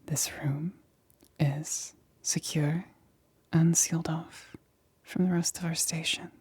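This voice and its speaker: A woman whispering breathily in an ASMR roleplay as a careful scientist, speaking in a deliberate, clinical, instructing manner. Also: deep voice, fairly even intonation, darkish tone, breathy edge, no room sound, clean studio sound. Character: careful, excited female scientist examining an alien specimen.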